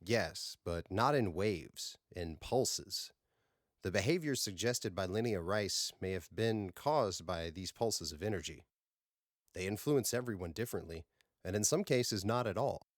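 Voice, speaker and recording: Man reading as female space doctor, precise, formal, cautionary, low voice, dry recording. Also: expressive, a little slow, no room sound.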